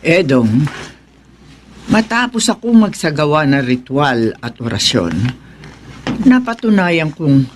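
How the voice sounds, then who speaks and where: An actor playing a worried village healer in a horror radio drama, speaking in a grave, measured, foreboding way. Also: male voice, a little high, big pitch swings, a little hiss or hum. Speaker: folk healer (albularyo).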